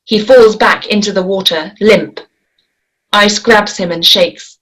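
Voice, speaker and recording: A woman's voice: medium pitch, a bit bright, poor audio. Woman reading a screenplay. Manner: measured, descriptive reading. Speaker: script reader. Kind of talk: screenplay table read.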